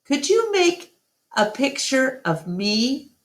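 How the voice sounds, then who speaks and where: A woman's voice: high-pitched, big pitch swings, slow, voice pushed up, full character voice. A woman voicing a curious, sleepy baby elephant girl who pleads with questions in a children's storybook. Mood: curious, pleading. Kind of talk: children's storybook read-aloud.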